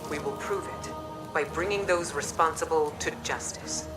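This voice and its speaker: A woman plays a resolute leader in a game, giving a formal public address. Her voice is low and sounds some way off, beneath loud music. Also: normal speed, close to an everyday voice. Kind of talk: scripted video game cutscene acting. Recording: distant voice under loud music.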